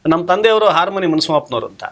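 A man reminiscing as an interview guest, halting as he searches for words. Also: on the high side, lively intonation, fairly bright tone. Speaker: interview guest, theatre artist.

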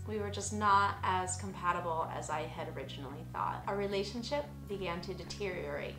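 A woman in her thirties from the United States, narrating a personal travel vlog in an earnest, reflective, measured way. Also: medium pitch, low-quality recording. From United States (Colorado). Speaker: travel vlogger. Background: soft music bed.

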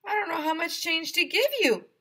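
A woman voicing a slow-witted, puzzled male ice cream vendor in a storybook, hesitant and drawn-out. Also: very high voice, bright, forceful tone, voice pushed up. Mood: confused.

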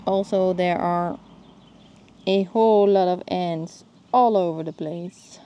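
A Dutch woman filming herself outdoors in her garden with a handheld phone. She talks casually and often pauses to search for plant names. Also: lowish voice, dark tone, highs cut off. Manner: searching for words, thinking aloud. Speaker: gardening vlogger.

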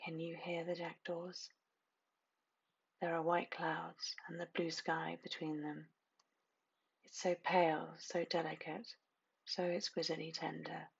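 A woman narrating a scripted guided meditation in a soothing, hushed, hypnotic manner, lingering on repeated phrases with long pauses. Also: deep voice, level tone, vocal fry, slightly rough, lo-fi sound.

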